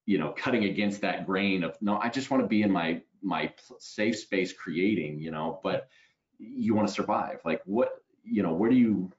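Deep-voiced headset host on a call. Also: male voice, normal speed. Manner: conversational, tags lines with "right?". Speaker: webinar host. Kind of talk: casual video call interview. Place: home room. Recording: slightly dull video call audio.

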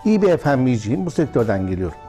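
Man, 50s, from Bursa; owner giving a measured interview in his dining room, mellow timbre, soft music behind. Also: mid-range voice, very animated. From Bursa, Turkey. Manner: measured, with frequent hesitation fillers. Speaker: hotel and restaurant owner. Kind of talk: interview answers. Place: historic restaurant dining room, indoors.

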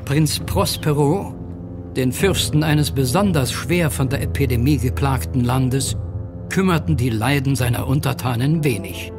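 An actor as the grave, solemn storyteller of a gothic horror audio drama, in measured scripted narration. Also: male voice, medium pitch, natural voice. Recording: music behind.

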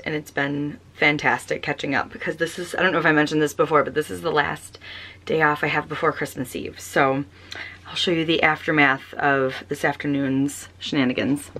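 A young woman vlogging casually at home, chatty with many ums and restarts, tired and fighting off a cold. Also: deep voice. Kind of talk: casual chat. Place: home, small room. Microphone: camera close up.

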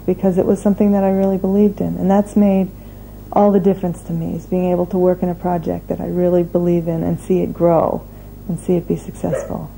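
A woman in her forties reflects earnestly in a documentary interview. Her voice is low and dark, with a gravelly edge and little pitch movement. Faint hiss sits under the old video recording. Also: rough recording. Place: living room.

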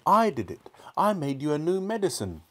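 Storybook role: young lad, higher voice, earnest and eager to be believed, put on by a narrator. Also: big pitch swings, unhurried, dark tone, husky, exaggerated voice. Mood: insistent, defiant. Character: earnest young boy, grandson, eager and defiant.

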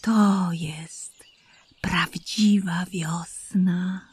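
A woman voicing a gentle, caring mother hare in a children's audio drama, soothing and tender. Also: fairly low pitch, steady tone, drawn-out words, husky, heavily acted.

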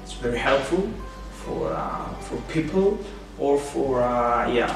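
A young man answering an interview question haltingly, searching for words, recorded indoors on a distant camera mic. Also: medium pitch, expressive, vocal fry. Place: small indoor room. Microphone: far camera mic. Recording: echoey room, distant mic, faint music.

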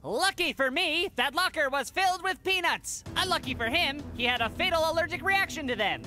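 A woman's voice: high voice, expressive, brisk, music in the background. A woman playing an earnest school-kid superhero in a cartoon game, melodramatic and heroic. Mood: earnest bravado.

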